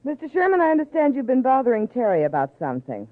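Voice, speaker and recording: Casting: a tough-talking, curt young American dame, animated intonation, old-time radio crime drama, dry sound. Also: female voice, sits high, quick pace, no echo. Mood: confrontational. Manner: slangy, blunt, curt. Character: brassy, blunt young fiancée of a boxer. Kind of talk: 1940s-50s American radio drama acting. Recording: tinny, dry vintage radio recording.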